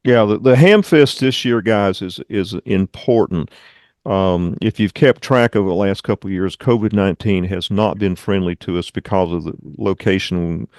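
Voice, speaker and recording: A man leading an online club meeting, giving informal updates in a halting, rambling, conversational way. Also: sits low, a little mellow, no room sound, studio quality. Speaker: radio club meeting leader and presenter.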